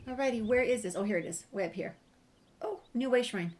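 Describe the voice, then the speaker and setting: A woman's voice: a little high, a little fast. A woman giving casual game walkthrough commentary, playfully thinking aloud and chatting to herself and the game. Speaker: gameplay video host. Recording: clear background noise, game sounds.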